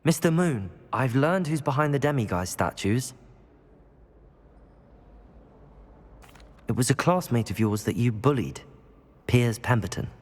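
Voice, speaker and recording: A man plays an earnest British schoolboy wizard in a game. He is polite and composed, with a mid-pitched voice and lively intonation. Faint music plays behind him. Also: moderate pace, lightly acted. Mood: helpful. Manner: courteous, composed. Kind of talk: video game voice acting.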